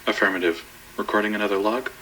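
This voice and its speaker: A man plays a dutiful pilot commander aboard a spacecraft. His voice is very low with a forceful edge. He speaks calmly and procedurally, in a space drama manner. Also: fast talker, poor audio. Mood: businesslike. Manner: procedural, matter-of-fact. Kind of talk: analog horror space audio drama.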